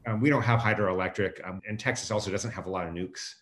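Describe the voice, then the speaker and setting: A man's voice: on the low side, quick pace, fairly bright tone, slight background noise. An American man answering as a guest expert, explaining things in a casual, conversational way.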